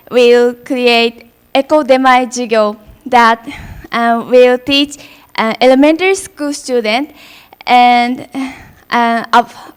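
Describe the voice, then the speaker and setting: Young woman from Japan, student presenter, smooth clear voice, unhurried. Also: a little high. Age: young adult. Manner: hesitant, with fillers and restarts. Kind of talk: prepared group presentation.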